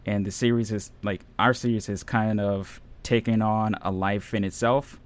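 A man who is a radio DJ and audio editor, chatting casually in a radio interview, relaxed and rambling with many fillers. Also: on the low side, moderate pace.

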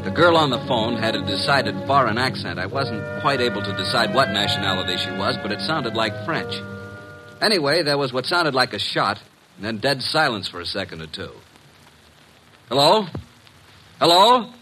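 An actor playing a brash, quick-witted detective's assistant, breezy and animated, in 1940s radio style. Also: male voice, mid-range voice, soft background music. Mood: matter-of-fact. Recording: faintly noisy old radio recording.

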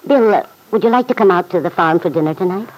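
Homespun aunt for vintage radio drama: measured, gently prodding, kind but canny, very animated intonation. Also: female voice, on the low side, low-quality recording. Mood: kindly encouraging. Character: kindly, shrewd aunt, gently persuasive family friend. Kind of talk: old-time radio soap opera acting.

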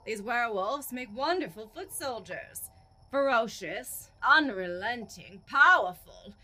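A woman's voice: on the high side, expressive, a little slow, pushed and bright, full character voice. An actress playing a haughty, cruel female emperor in an audio drama, with an imperious, mocking, theatrical delivery. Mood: commanding. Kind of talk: audio drama villain acting.

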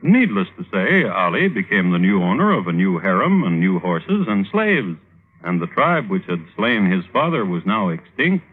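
Droll, husky male old-radio narrator. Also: low voice, very animated, moderate pace. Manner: dry, droll understatement. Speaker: narrator, host. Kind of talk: wry scripted radio narration. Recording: narrow-band old radio recording.

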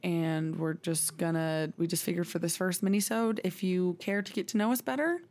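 A woman's voice: lowish voice, fairly even intonation, normal speed, a little mellow. A woman in her twenties from Texas, co-hosting a podcast in casual chat and laughing often. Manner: giggly, chatty, laughs often. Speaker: podcast co-host.

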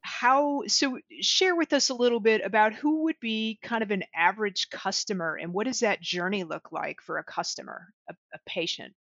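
A woman's voice: mid-range voice, moderate pace, hardly any pauses, fairly bright tone, no room sound. Woman podcast host, upbeat and encouraging, dry dull lo-fi sound. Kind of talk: interviewing a guest on a podcast.

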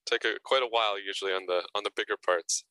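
A young man answers interview questions in a casual, halting way, stumbling over and repeating his words. His voice sits low yet sounds very bright and edgy. The recording is close and dry. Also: steady tone, smooth, dry recording, rough recording. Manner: hesitant, repeats words, frequent fillers. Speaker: actor guest.

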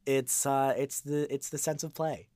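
A man's voice: mid-range voice, little pitch movement, unhurried, a little mellow, no echo. Earnest young man, very dry close sound. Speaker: voice actor, podcast guest. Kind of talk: casual reflective interview chat.

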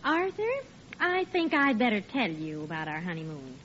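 Exasperated but reassuring radio wife, 1940s comedy, animated, echoey hall sound. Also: female voice, medium pitch, moderate pace, a little hiss or hum. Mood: wry, exasperated. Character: sensible, gently exasperated wife and hostess. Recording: muffled echoey old radio recording, audience.

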